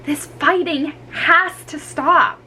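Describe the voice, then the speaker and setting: A woman voicing a stern, disappointed mother who scolds in measured words, in a storybook reading. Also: high voice, pushed and bright, a little hiss or hum, pitched above the performer's own voice, heavily acted, low-quality recording.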